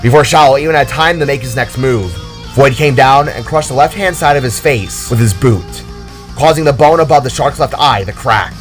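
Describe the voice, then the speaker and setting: Male narrator, medium pitch, dramatic scripted storytelling, guitar music behind. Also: normal speed.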